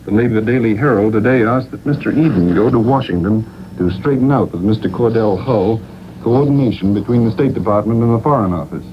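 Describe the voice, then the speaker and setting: Male 1940s radio correspondent through heavy static. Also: medium pitch. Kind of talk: scripted 1940s radio news report. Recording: very noisy muffled 1940s shortwave broadcast.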